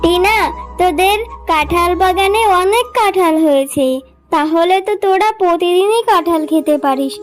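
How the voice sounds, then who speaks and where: A woman's voice: very high voice, normal speed. A woman voicing a sweet, eager young fox girl in a children's cartoon, with a childlike, wheedling manner. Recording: music playing behind the voice. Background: children's music.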